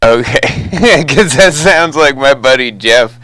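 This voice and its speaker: A man's voice: mid-range voice, lively intonation, slow, pushed and bright, no echo. An American man chatting casually over the radio as a hobbyist, with an easygoing, folksy manner. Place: two-way CB radio conversation.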